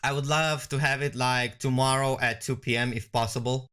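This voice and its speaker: A man giving a conversational software walkthrough as a product demonstrator. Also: mid-range voice, fairly even intonation, normal speed, clear, resonant tone.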